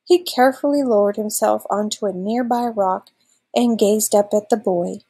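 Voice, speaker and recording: A woman's voice: medium pitch, normal speed, dark tone, slightly rough. A woman in her thirties reading a children's storybook aloud expressively, like a teacher.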